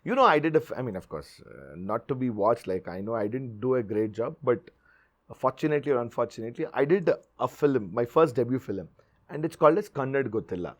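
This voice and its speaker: A man's voice: mid-range voice, expressive, brisk. A man from southern India hosting a talk show, asking teasing questions with frequent laughs. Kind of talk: casual interview chat.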